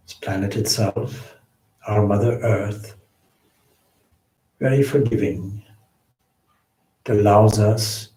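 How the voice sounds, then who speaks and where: A man leading a guided meditation as a swami, with measured, solemn phrasing and frequent pauses. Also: lowish voice, mellow. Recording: roomy, echoing indoor sound.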